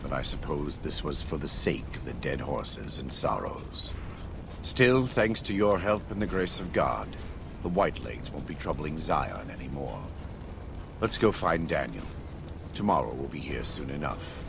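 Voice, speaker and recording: A grave, devout warrior. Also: male voice, a bass voice, very animated, vocal fry, background noise, full character voice. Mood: solemn. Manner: grave, measured, speaks of God's grace. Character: scarred, devout warrior preacher, middle-aged man. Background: game music.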